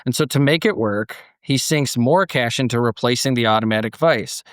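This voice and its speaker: A man's voice: mid-range voice, fairly bright tone, dry recording, studio quality. A man hosting a podcast, chatting casually and narrating a scripted story in a conversational way.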